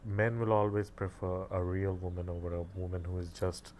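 A man's voice: sits low, steady tone, moderate pace, a little mellow, a little hiss or hum. A man with an Indian English accent, a guest chatting casually and playfully, laughing often. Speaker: interview guest, cross-dresser. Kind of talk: casual chat.

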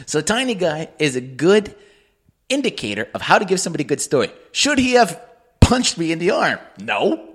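Animated storytelling man, lively pitch. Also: normal speed, husky. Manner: animated, profane, laughs often. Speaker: podcast co-host. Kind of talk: casual comedic storytelling.

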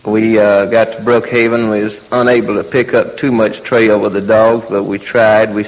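A man with a Southern US accent recalling events plainly and haltingly, like a folksy patrol officer. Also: on the low side, level tone. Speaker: highway patrol officer giving a witness account. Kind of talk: unscripted recollection. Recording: muffled, long hall reverb, old recording.

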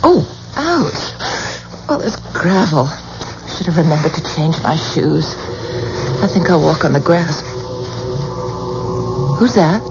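An actress playing a determined, anxious wife with refined, insistent speech in a 1970s radio drama. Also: female voice, low-pitched, animated, slow, pauses often, exaggerated voice. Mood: uneasy, anxious. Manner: refined, hesitant, then urgently insistent. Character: determined, anxious wife posing as genteel patron. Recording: muffled, noisy 1970s radio broadcast.

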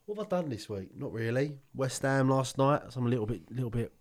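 A man's voice: mid-range voice, animated, quick pace, crowd noise. A young man, co-hosting a podcast in casual banter, rambling, laughing often and restarting his sentences. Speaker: podcast co-host.

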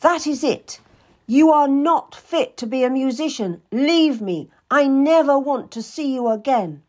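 A woman voicing an imperious, volatile young noblewoman who scolds in slow, drawn-out accusations. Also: high-pitched, animated, a little mellow, voice pushed up. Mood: furious.

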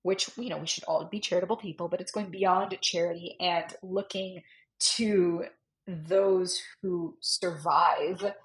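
A woman's voice: on the low side, steady tone, measured pace, poor audio. A woman author, a guest on a podcast, talking in an earnest, reflective way with frequent fillers. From Cuban American. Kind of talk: casual conversational interview.

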